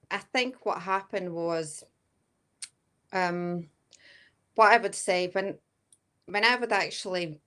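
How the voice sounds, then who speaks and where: A woman in her thirties from Latvia with a Latvian accent tinged with Scottish, answering interview questions hesitantly with many fillers. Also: mid-range voice. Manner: hesitant, many fillers, often says kinda. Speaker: guest medium.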